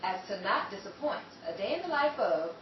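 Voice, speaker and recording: A woman announces a spooky story with formal, theatrical narration. Her pitch is average, and the recording is very echoey with clear noise behind it. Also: big pitch swings, fairly quick. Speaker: host and announcer. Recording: very echoey, noisy, dull, distant microphone.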